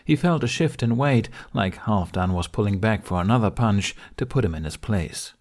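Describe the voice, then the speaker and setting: A man's voice: lowish voice, animated, moderate pace, a little mellow. A man reading scripted story narration as an audiobook narrator.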